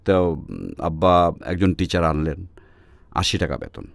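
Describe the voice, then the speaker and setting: A man's voice: low-pitched, big pitch swings, a little slow, gravelly, no echo. A man from Bangladesh with a Bangladeshi accent, telling personal stories as an interview guest and often switching into English phrases. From Netrokona, Bangladesh.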